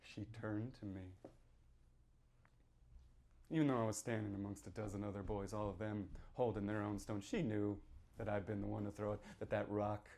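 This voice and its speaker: A man performing a dramatic reading as a haunted, folksy small-town man who tells his tale in halting, rueful asides. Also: on the low side, flat delivery, close to an everyday voice. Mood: brooding, hushed. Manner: folksy, halting, rhetorical asides, swings between hush and outburst. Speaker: author reading from his novel. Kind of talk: theatrical dramatic reading, first-person monologue.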